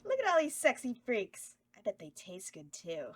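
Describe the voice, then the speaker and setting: A woman's voice: fairly high pitch, wildly swooping pitch, normal speed, breathy edge, full character voice. A woman playing a teasing, giggly succubus clown girl who hosts a campy horror show at a table read. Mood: giggly lustful. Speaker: actor reading a horror hostess at table read.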